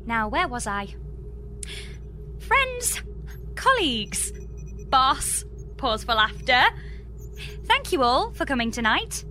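A high-voiced young British space engineer, earnest and exasperated. It is a scripted comedy audio drama with faint music behind her. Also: big pitch swings, takes it slowly, pauses often, bright, forceful tone, full character voice. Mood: distracted, earnest.

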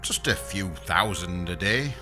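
A man's voice: low-pitched, expressive, a little slow, over music. A man playing a bashful, apologetic space engineer who stammers awkwardly in a sci-fi audio comedy.

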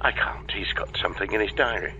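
A man's voice: fairly low pitch, fairly quick, vocal fry, pushed and bright, dull audio, a little hiss or hum, exaggerated voice. A man playing an evasive, breezily unhelpful space-agency dispatcher in a comedy audio drama, talking over a radio link. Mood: sheepish, brisk. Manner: breezy, evasive, hesitant when cornered.

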